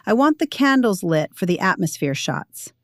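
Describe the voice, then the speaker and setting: A woman voicing a pushy, impatient, self-important young female designer in a narrated audio story, brisk and bossy. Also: medium pitch, sing-song, dry recording, studio quality, natural voice.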